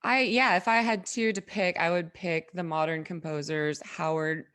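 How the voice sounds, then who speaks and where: A woman's voice: lowish voice, fairly even intonation, moderate pace, a bit bright, clear, resonant tone. A woman co-hosting a talk show, chatting casually and enthusiastically. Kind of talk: casual chat.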